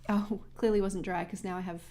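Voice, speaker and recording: A woman from northern New South Wales with an Australian accent, chatting casually and quickly with livestream viewers while she crafts, often giggling. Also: mid-range voice, fairly even intonation, dark tone. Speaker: journaling livestream host.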